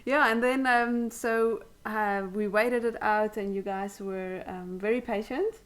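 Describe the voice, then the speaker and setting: A woman's voice: mid-range voice, fairly even intonation, unhurried. A woman hosting a supportive, casual interview in an encouraging, affirming way, feeling her way through sentences. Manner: encouraging, affirming, hesitant phrasing. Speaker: interviewer, host.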